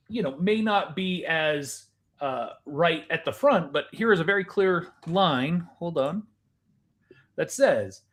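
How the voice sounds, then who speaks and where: A man with a somewhat high voice chats casually as a podcast co-host. His delivery is informal and conversational, like talk between colleagues. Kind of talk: casual chat.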